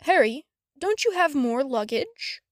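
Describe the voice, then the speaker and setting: A woman's voice: sits high, big pitch swings, a little slow, no echo, studio quality. A woman voicing a concerned, protective, courteous father in a storybook reading. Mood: inquiring.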